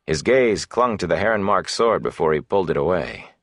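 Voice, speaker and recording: A man narrating a fantasy audiobook, telling the story in a measured, deliberate, dramatic way. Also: sits low, animated, no echo, studio quality.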